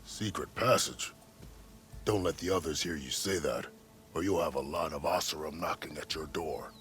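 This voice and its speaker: An actor playing a plain-spoken, seasoned caravan scout in a video game, with a folksy, matter-of-fact manner. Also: male voice, a bass voice, moderate pace, a bit bright, a little hiss or hum. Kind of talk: video game character acting.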